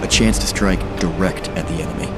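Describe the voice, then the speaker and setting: Actor as grave naval officer recounting war over music. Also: male voice, sits low, a little fast, close to an everyday voice. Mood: grave, resolute. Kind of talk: scripted military narration.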